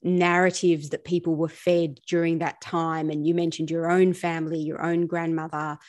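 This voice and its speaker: A woman's voice: low voice, little pitch movement, normal speed, a little mellow. A woman hosting an interview, politely summarizing what the guest said before asking her next question. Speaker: interviewer, host.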